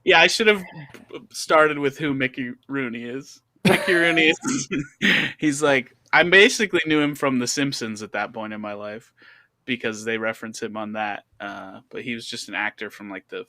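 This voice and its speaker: A man's voice: medium pitch, moderate pace, sharp tone, no echo. A man co-hosting a comedy show, casually telling anecdotes in group banter.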